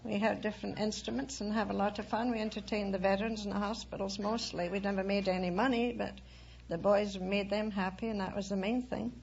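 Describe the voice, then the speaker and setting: A middle-aged woman with an American accent and a creaky voice chats casually as a contestant on a radio quiz. The sound is muffled and vintage, with faint hiss. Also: mid-range voice, fairly even intonation, a little fast, darkish tone. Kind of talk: casual interview chat.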